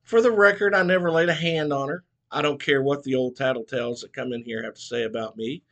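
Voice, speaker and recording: A man's voice: medium pitch, expressive, moderate pace, a bit bright. A man voicing a skinny, flustered trailer-park man, whiny and worked up.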